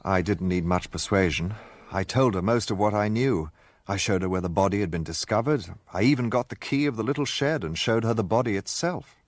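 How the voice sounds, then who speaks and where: An actor playing a gentlemanly narrator who recounts his own adventure as scripted first-person radio drama narration. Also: on the low side, a little fast, no echo, close to an everyday voice. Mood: reflective, recounting.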